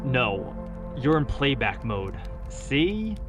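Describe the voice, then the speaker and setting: A male actor as a dry, sarcastic scientist in a sci-fi audio drama. His pitch swings widely while the delivery stays slow, with music playing underneath. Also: very animated. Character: sardonic male space scientist on a corporate station.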